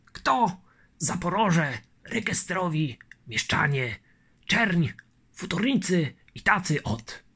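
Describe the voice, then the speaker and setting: A man's voice: high-pitched, very animated, takes it slowly, pushed and bright, slightly rough, pitched above the performer's own voice, exaggerated voice. A man voicing a frightened old colonel. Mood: alarmed. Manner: anxious, pleading, crying to Christ. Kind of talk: audiobook character voice.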